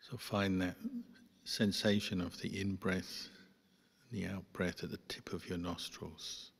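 A man, a Buddhist monk, guiding a meditation in calm, measured phrases with pauses. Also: low-pitched, gravelly, slightly rough. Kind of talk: guided meditation instruction. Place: large reverberant hall. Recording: long hall reverb.

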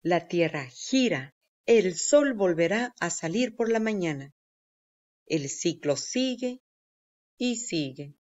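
A woman reading a children's nonfiction book aloud with deliberate, clear phrasing for young learners. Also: on the low side, animated, a little mellow. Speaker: read-aloud narrator. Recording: dull, lo-fi sound.

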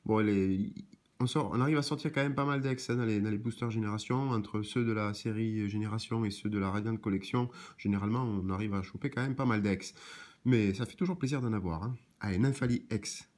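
Hoarse man opening trading cards. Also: fairly low pitch, darkish tone. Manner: reads card names in quick succession, chatty asides. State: slightly hoarse, clearing throat. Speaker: card shop owner and YouTuber. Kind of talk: casual card-opening vlog. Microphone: camera framing hands and cards, speaker unseen.

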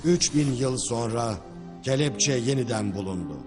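A man as solemn mid-pitched cartoon narrator. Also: expressive, unhurried, close to an everyday voice. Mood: solemn, ominous. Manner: solemn, dramatic. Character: solemn storyteller narrator of ancient legend. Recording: very loud music behind.

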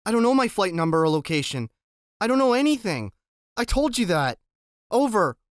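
A frightened teenage boy pleads for help over a radio, high and urgent, his voice leaping and straining, as a man reading a storybook puts him on. The sound is close and dry. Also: very animated, normal speed, smooth, no room sound. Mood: pleading. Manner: panicked, pleading, raised voice.

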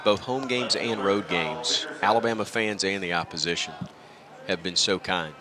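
A man's voice: low-pitched, moderate pace, a crowd in the background. A middle-aged man chatting as a guest in a broadcast booth interview, self-deprecating and reflective. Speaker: guest, radio play-by-play announcer. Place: stadium press booth at a baseball game. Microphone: broadcast headset. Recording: outdoor ballpark ambience behind voice.

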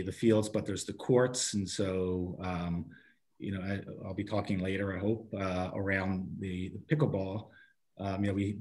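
Man, 60s, low register, halting committee speaker reporting informally over a video call. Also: darkish tone. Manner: halting, often breaking off and rephrasing. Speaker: parks and recreation committee member reporting to a town council. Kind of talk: informal spoken report at an online meeting.

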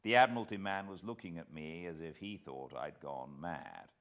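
A British man in his fifties narrating a story in a measured, expressive, dramatic way. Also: low-pitched. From Britain. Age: 50s. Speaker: audiobook narrator. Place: living room beside a fireplace, indoors.

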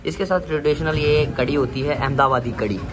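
A man's voice: fairly high pitch, fairly quick. A man chatting casually to camera as a travel vlogger, often repeating his phrases. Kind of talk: casual vlog chat to camera.

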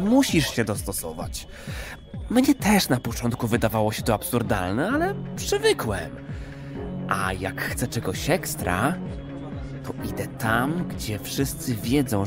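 A brash, animated, foul-mouthed guy. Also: medium pitch, measured pace, over music. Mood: cocky. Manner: vulgar, cocky, chummy slang. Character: brash, crude, streetwise male regular of a pleasure institute. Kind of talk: audio drama acting.

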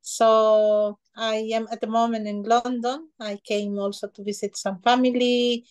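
A middle-aged woman from Peru, speaking slowly and reverently as a healer on a video call. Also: on the high side, little pitch movement. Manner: slow, soft-spoken, reverent gratitude lists. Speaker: shamanic healer guest. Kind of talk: spiritual talk on a video call. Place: small decorated room indoors. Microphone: video call webcam.

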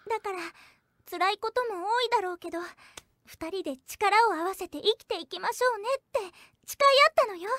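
A woman's voice: extremely high pitch, brisk, sharp tone, no echo. A woman playing an assertive, lovestruck teenage schoolgirl with casual girlish phrasing, in a Japanese anime audio drama. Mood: lovestruck, insistent. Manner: assertive, casual feminine speech.

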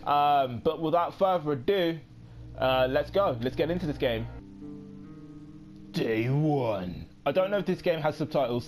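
A young man in his late teens giving casual let's play commentary, rambling with many pauses and quick apologies. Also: medium pitch. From United Kingdom. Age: young adult. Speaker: gaming YouTuber.

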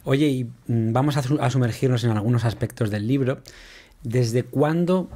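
A man's voice: mid-range voice, moderate pace, warm tone. A man in his thirties hosts a video-call interview from a desk mic. He asks long, meandering questions in a conversational way, with a mid-range voice. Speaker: podcast host.

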